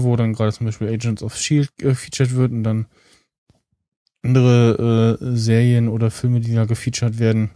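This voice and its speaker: A man co-hosting a podcast, talking casually and haltingly as he thinks aloud. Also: medium pitch, dark tone, no echo, studio quality. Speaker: podcast co-host. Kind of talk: casual, unscripted podcast chat about tech and streaming.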